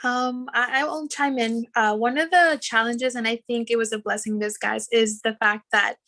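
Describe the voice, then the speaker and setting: A woman from New York City on a panel, telling a story in a lively way and acting out the dialogue. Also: on the high side. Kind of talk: casual panel chat.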